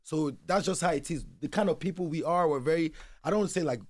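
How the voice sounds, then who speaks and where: A man's voice: on the high side, fast talker, a little mellow, no echo. A man from Nigeria, a guest musician chatting loosely in an interview, leaning on "you know what I'm saying.". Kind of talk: casual interview chat. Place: radio studio. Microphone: studio microphone.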